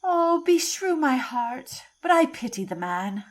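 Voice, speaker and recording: A woman's voice: sits high, very animated, measured pace. A woman playing a haughty noblewoman passing comment in a Shakespearean audio play. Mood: mock pity.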